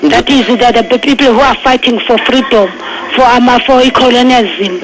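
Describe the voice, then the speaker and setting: A woman's voice: a little high, fairly even intonation, normal speed. A woman with an Eastern Cape South African accent, explaining things on the phone firmly and matter-of-factly, mixing in English. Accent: South African Xhosa-speaking, Eastern Cape. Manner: matter-of-fact, firm, mixes in English. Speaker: municipal employee taking a call. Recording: very noisy phone call over radio.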